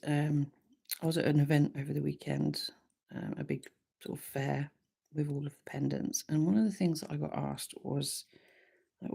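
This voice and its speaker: Croaky-voiced woman, low and gravelly. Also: warm tone. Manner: chatty, easygoing, thinks aloud with frequent ums. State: slightly croaky, sore throat. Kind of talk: relaxed live craft tutorial.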